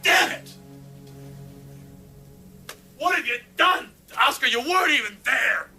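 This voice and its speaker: An actor playing a furious, distraught man in an audio drama, with anguished, halting outbursts. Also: extremely high pitch, expressive, creaky, sharp tone, far from the mic, faint music behind, exaggerated voice.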